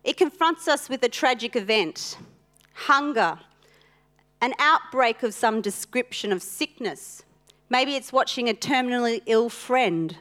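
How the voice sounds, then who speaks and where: A woman whose first language is Italian, preaching a sermon with personal stories in an earnest, deliberate way with pauses. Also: fairly high pitch, a bit bright.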